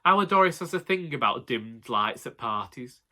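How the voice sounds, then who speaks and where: A man's voice: mid-range voice, expressive, normal speed, sharp tone. A man with a Northern English accent narrating a comic first-person story in a wry, colloquial way. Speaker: audiobook narrator.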